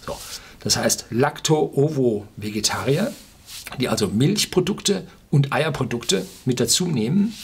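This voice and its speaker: A man's voice: medium pitch, husky. A man in his sixties from Germany's Ruhr area, giving an opinionated, informal explainer to camera, emphatically and with rhetorical questions. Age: 60s. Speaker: video blogger. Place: small furnished room indoors.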